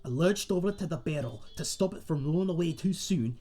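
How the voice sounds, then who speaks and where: A man's voice: fairly high pitch, lively intonation, warm tone, faint music behind. A man playing a friendly, rambling tavern owner and seasoned adventurer, telling tales to one listener in a folksy, chatty way in a fantasy audio roleplay. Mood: excited. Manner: chatty, folksy, dramatic pauses, calls listener mate.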